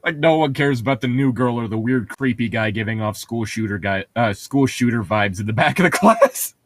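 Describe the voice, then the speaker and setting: A man's voice: mid-range voice, expressive, a little fast. A man co-hosting a podcast, reading aloud and bantering casually in a playful, sarcastic, sweary way. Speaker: podcast co-host.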